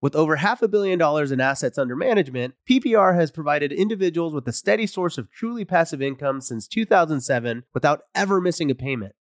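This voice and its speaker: A man's voice: a little high, expressive, quick pace, few pauses, no room sound, clean studio sound. A man reading sponsor ads in an upbeat, salesy way. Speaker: ad reader. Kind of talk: scripted ad read.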